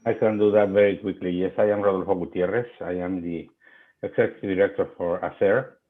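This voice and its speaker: A man with a Mexican accent introduces himself on a phone-like webinar line. His voice is deep and quite even, and his wording is formal and somewhat halting. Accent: Mexican Spanish. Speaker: guest, nonprofit executive director. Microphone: online video call. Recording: muffled, phone-like call audio.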